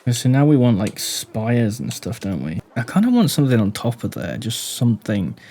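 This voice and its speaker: A man giving casual commentary on a game build, speaking deliberately low and quiet while he thinks aloud and asks the viewer questions. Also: sing-song. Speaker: gaming YouTuber.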